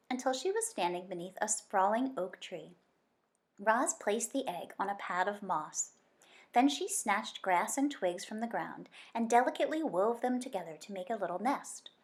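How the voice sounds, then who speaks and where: A woman reading a children's book aloud as an expressive story narrator. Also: mid-range voice, normal speed. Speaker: story reader.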